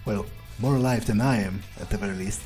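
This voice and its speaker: A man's voice: on the low side, brisk, warm tone, close to an everyday voice. A man voicing a guarded, dry-humored male vampire in improvised game roleplay, hesitant and musing aloud. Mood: puzzled musing. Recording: game music behind the voice.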